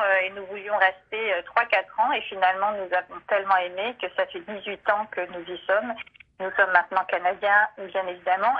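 Female phone guest from France, 40s, husky voice, hesitant, dull line. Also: medium pitch. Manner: hesitant, many fillers. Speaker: guest, cookbook author. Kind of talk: phone interview. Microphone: telephone.

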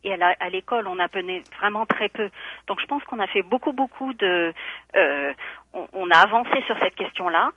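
A woman's voice: mid-range voice, lively intonation, normal speed, no echo. Woman calling in on a tinny phone line, earnest, lots of euh, dry sound. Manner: earnest, thoughtful, hesitant fillers. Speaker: school board candidate. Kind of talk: radio interview answers.

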